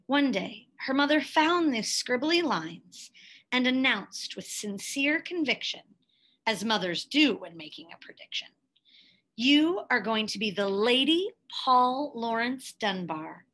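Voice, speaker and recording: Female storybook reader, higher-pitched, very measured pace, careful and expressive delivery of scripted narration. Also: rough recording. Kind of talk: scripted picture-book read-aloud.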